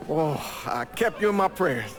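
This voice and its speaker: An actor playing a fond, kindly priest in a game cutscene, speaking affectionately. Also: male voice, a little high, very animated, unhurried. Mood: delighted. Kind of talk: video game cutscene acting. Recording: loud party crowd noise.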